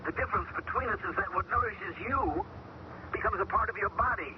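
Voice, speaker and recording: An actor playing a man taken over by an alien mind, who talks calmly and measures his words in an old radio drama. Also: high voice, natural voice. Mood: eerie. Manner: calm, measured, searching for words. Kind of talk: 1970s radio drama acting. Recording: dull old radio broadcast with loud hiss.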